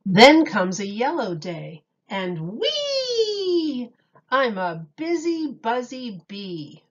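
Female storyteller for kids' picture book; unhurried, expressive, mid-pitched, slightly dull lo-fi sound. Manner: slow, deliberate, dramatic emphasis on key words. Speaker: teacher reading a picture book aloud. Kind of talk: expressive children's read-aloud.

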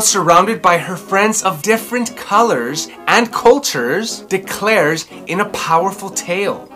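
A man's voice: high voice, sharp tone. A man in his thirties hosting a children's story time and book review with a theatrical, exaggerated, emphatic delivery. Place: indoors, small room at home.

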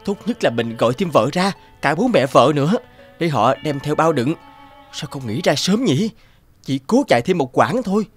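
An actor playing a greedy, excitable young peasant man in a fairy-tale cartoon, dramatic and given to exclaiming and pleading. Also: a little high, moderate pace, faint music behind, lightly acted. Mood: greedy, calculating. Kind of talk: animated fairy-tale character acting.

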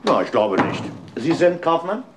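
An actor playing a sober, courteous police inspector in a crime radio play. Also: male voice, a little high, sing-song, normal speed. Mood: matter-of-fact. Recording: noisy old radio drama recording.

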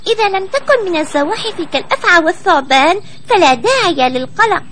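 Very high-pitched duck newsreader. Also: female voice, lively intonation, fast talker, a bit bright, slight background noise. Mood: urgent. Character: female duck television newsreader, earnest and urgent. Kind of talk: cartoon news bulletin.

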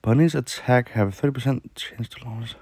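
A man with a sore, hoarse throat, rambling through casual commentary while playing a mobile game, with lots of fillers and restarts. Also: medium pitch, brisk, darkish tone, no room sound, studio quality.

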